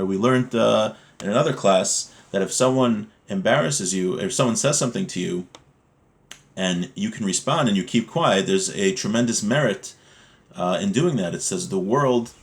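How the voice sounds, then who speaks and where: A man's voice: on the low side, vocal fry. A man giving an informal religious lesson, explaining a text in a conversational way with rhetorical check-ins as a teacher.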